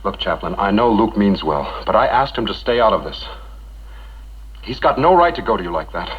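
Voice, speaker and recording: Defensive young husband, very low voice. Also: male voice, big pitch swings, moderate pace, breathy edge. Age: young adult. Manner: guarded, clipped, defensive. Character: troubled, defensive young husband and new father. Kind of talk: 1960s educational film acting. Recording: dull 1960s film soundtrack.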